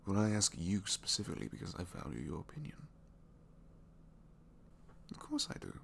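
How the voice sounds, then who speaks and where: A man playing a captured supervillain in an intimate ASMR roleplay, a gentle, bookish dreamer who speaks softly and hesitantly, often sinking toward a whisper. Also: low-pitched, wildly swooping pitch, moderate pace, vocal fry, a little hiss or hum, full character voice. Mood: sincere, fond. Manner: hesitant, soft-spoken, rambling, often dropping to whispers.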